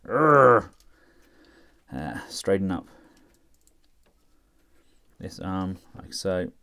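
A man talking casually through a toy review, chatty and relaxed with frequent fillers as he works the figure with his hands. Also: sits low, extremely slow. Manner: relaxed, chatty, thinking aloud with many fillers.

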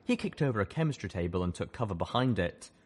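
A man's voice: on the low side, big pitch swings, fast, husky. A man reading scripted first-person fiction as an audiobook narrator.

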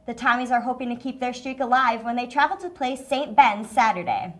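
A woman's voice: on the high side, brisk, fairly bright tone. Young woman hosting a campus sports show. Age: young adult. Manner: upbeat, reads from a script. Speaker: student sports show host. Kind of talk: scripted studio hosting. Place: small TV studio set, indoors. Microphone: lapel mic.

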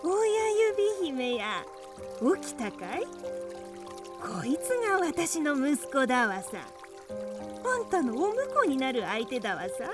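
An ugly mother toad, bossy and scheming, doting on her son. The voice is high and swings widely in pitch, with quirky verbal tics in radio-drama style, over soft music. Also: sing-song, slow pace, heavily acted. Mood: bossy, smug. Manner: quirky repeated "dawasa" sentence endings, bossy.

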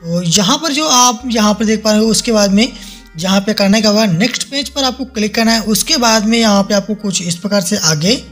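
A man's voice: high voice, a little fast. A man narrating a step-by-step app tutorial in repetitive instructional phrasing. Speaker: tutorial host. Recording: faint music behind the voice. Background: soft background music.

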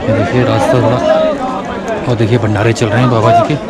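Man, vlog narration, action-camera mic, loud outdoor crowd behind. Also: on the low side, little pitch movement, fast talker, very noisy. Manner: plain, repetitive pointing-out commentary. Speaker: pilgrimage vlogger. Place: crowded mountain pilgrimage site outdoors.

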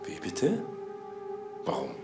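An actor playing a curt, evasive and menacing swindler in a German crime radio drama. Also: male voice, takes it slowly, music in the background, close to an everyday voice. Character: evasive, menacing male swindler turned murderer.

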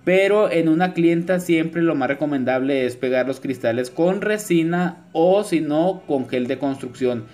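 A man's voice: fairly high pitch, measured pace, few pauses, darkish tone, faint music behind. Chatty man teaching nails. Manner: chatty, informal, frequent fillers, addresses viewers as girls. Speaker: nail artist teaching acrylic nail design. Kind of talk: voice-over tutorial narration.

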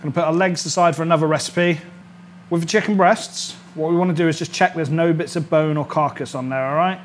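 Higher-voiced British man giving cooking instructions. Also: fairly even intonation, brisk, slight background noise. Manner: chatty, instructional, often checks with "all right?". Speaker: chef presenting a cooking series. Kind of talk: informal cooking demonstration. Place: indoor kitchen studio set.

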